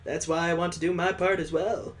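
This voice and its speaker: A man's voice: fairly high pitch, level tone, a little fast, natural voice. A man voicing a jovial, sentimental old father-in-law, hearty and earnest, in a visual novel read aloud.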